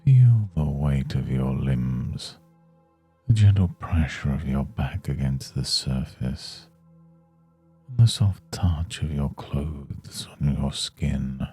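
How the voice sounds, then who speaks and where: Very deep, slow, gravelly male meditation guide. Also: animated, faint music behind. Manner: soothing, hushed, unhurried delivery. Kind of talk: guided yoga nidra meditation.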